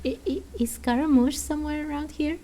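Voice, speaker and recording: A woman's voice: high voice, fairly even intonation, brisk, mellow. A woman giving casual commentary on a game, musing and asking questions aloud. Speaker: gamer voicing a let's play.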